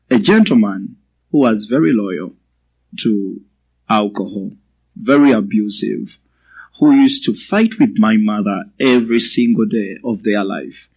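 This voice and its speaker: A man in his twenties with a Ugandan accent, giving a personal testimony as a guest speaker to a church, in a measured, halting way. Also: fairly low pitch, darkish tone, slightly rough, poor audio. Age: 20s.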